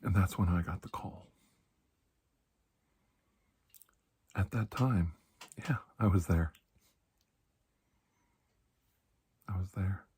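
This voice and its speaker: Low, husky, soft-spoken man playing a soothing doctor. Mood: reminiscing. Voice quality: soft, breathy, husky, slipping into whispers. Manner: slow, reassuring, deliberate with long pauses. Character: calm, kindly, ageless male doctor of alien medicine. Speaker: doctor in an ASMR roleplay. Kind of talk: ASMR roleplay, soft-spoken storytelling to a patient.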